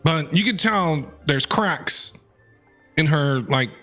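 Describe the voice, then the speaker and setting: Guy streamer reacting to a game, slangy and hyped, bright forceful voice, super animated, music in back. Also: a little high, slow pace. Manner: excitable, slangy. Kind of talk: casual reaction commentary over gameplay.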